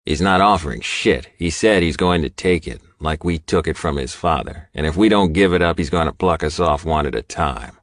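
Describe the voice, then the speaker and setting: A man plays a tough outlaw biker lieutenant with a low voice. He is grim, terse and matter-of-fact, heard close and dry in an audiobook. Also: normal speed, hardly any pauses, no echo. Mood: grim, blunt.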